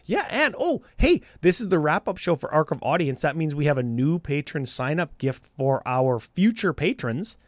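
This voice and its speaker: A man's voice: a little high, very animated, normal speed, few pauses, no room sound, studio quality. A man co-hosting a casual podcast, upbeat and laughing often, his voice a bit scratchy from a cold. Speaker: podcast co-host. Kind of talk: casual chat.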